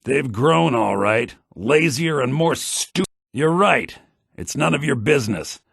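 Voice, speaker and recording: Sly fantasy game townsman, raspy, animated intonation, wry and conniving. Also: mid-range voice, unhurried, dry recording, studio quality, exaggerated voice. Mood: scornful, irritated. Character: sly, cynical adult male townsman and schemer. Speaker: fantasy video game character. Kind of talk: scripted game dialogue.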